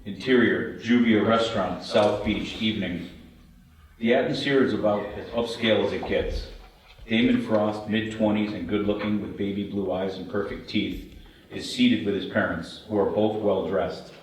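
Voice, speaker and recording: Male narrator, lowish pitch, table-read stage directions, far from mic, strong room reverb. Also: fairly even intonation, moderate pace.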